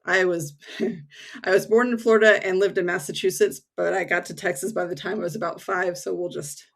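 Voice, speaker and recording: A woman's voice: lowish voice, brisk, gravelly. A woman from the United States, in her thirties, talking casually as a podcast guest over a remote call, with many fillers and light laughs. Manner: relaxed, frequent fillers and soft laughs. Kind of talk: casual conversation. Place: home in England.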